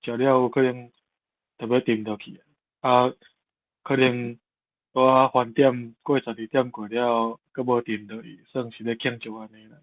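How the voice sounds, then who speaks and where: A man's voice: mid-range voice, flat delivery, unhurried, phone-quality sound. A man with a Taiwanese accent explaining casually as a discussion participant, over thin call audio.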